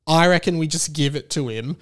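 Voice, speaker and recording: Higher-voiced man, casual rant. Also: a little fast, fairly bright tone, clean tone. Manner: rambling, blunt, swears casually. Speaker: podcast host. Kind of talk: casual comedic solo rant.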